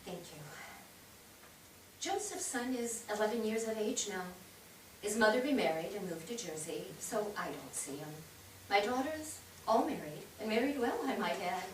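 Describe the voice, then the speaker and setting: A woman's voice: medium pitch, expressive, normal speed, lightly acted. A woman playing a proud, gracious elderly widow and matriarch in a staged play reading, speaking formally. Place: theatre stage, recorded from afar. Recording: distant noisy microphone in echoey theatre.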